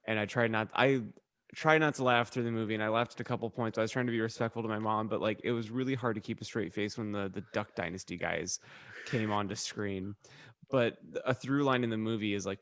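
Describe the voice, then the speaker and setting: A man's voice: on the low side, a little fast, a bit bright, no echo. A man co-hosting a podcast, telling rambling anecdotes in a casual, comedic chat with a wry manner and ready laugh. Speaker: podcast co-host.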